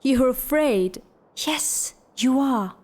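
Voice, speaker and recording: A woman's voice: sits high, a little mellow, dry recording, heavily acted. An actress playing a proud, fiery widowed lady in a theatrical audio drama, haughty and declamatory. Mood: taunting.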